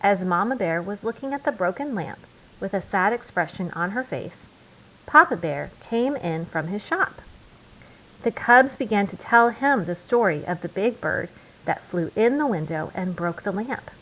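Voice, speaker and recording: A woman narrating a children's storybook aloud in a deliberate way, with emphatic pauses. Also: mid-range voice, animated, a bit bright, rough recording. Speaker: children's book reader.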